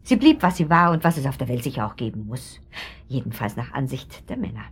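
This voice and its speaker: An actress playing a gossipy, middle-aged upper-class pharmacist's wife in a German radio drama, insinuating and pointed, with trailing asides. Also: female voice, very deep voice, big pitch swings, a little fast, husky, full character voice. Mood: catty, confiding.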